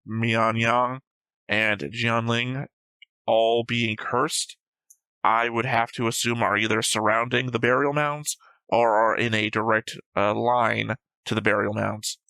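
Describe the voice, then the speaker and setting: A man with a bright, forceful voice, recorded close and dry. He talks casually and argumentatively, picking a story apart point by point, with some swearing. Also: mid-range voice, level tone, takes it slowly, smooth, no echo, rough recording. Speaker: host of an anime reaction show. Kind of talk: casual discussion and analysis of an anime episode.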